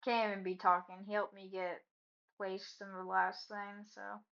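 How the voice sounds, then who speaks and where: A young woman talks over her gameplay in a sarcastic, offhand way, trading in blunt jokes. Her voice sits at an ordinary middle pitch. The mic sounds a bit dull and lo-fi. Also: flat delivery, a little slow, vocal fry, a bit bright. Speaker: gaming video creator. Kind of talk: casual gaming commentary.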